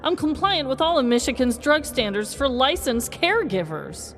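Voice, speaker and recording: A man's voice: extremely high pitch, sing-song, moderate pace, fairly bright tone, faint music behind, pitched above the performer's own voice, heavily acted. A man voicing an earnest, flustered suburban mother, prim and pleading, in a comedic dramatized story. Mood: defensive, pleading.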